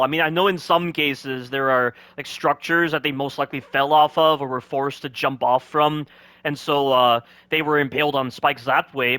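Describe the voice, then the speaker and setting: A male commentator picks apart game scenes in a critical, questioning way, firing off rhetorical questions. His voice sits a little high and comes across bright and forceful. It is recorded close and completely dry, with no room sound. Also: no room sound. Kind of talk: critical gameplay commentary over cutscenes.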